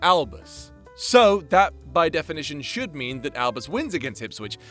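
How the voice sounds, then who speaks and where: A man's voice: fairly high pitch, sing-song, moderate pace, sharp tone, faint music behind. A man hosting a channel with casual, sweary, rambling commentary in an informal, chatty way. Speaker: creator and host of a fan-facing channel.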